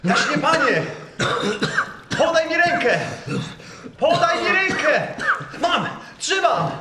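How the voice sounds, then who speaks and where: A man's voice: very high-pitched, sing-song, takes it slowly, full character voice. A man playing a humble, kind, deferential villager in a radio play, exclaiming respectfully. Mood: urgent. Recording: echoey, noisy recording.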